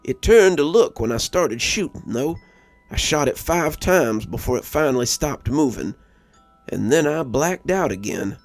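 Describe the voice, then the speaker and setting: A wounded young backwoods survivor, played by a male narrator, tells of a monster attack in an audio horror story. The voice is gravelly and animated, recorded dry over soft music. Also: a little high, unhurried, no room sound. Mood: grim, haunted. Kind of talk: first-person storytelling monologue.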